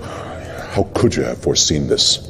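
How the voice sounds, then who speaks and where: An actor playing a stern, shrewd middle-aged noble lord in a game, speaking with authority. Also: male voice, very deep voice, lively intonation, a little slow, gravelly, a bit bright, a little hiss or hum, full character voice. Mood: stern, calculating. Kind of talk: video game cutscene acting.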